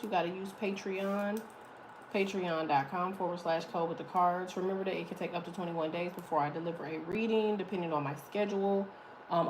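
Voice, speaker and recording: A woman with a deep voice giving a casual, chatty tarot reading, swearing freely as she talks. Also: noisy background. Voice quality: deep, slightly muffled voice. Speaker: tarot reader.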